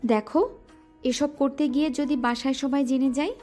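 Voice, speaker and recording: A woman's voice: sits high, steady tone, normal speed, mellow, faint music behind, lightly acted. A woman playing a shy young female student who is guarded and teasing toward her admirer in an audio drama. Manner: bashful, doubtful, sometimes scolding.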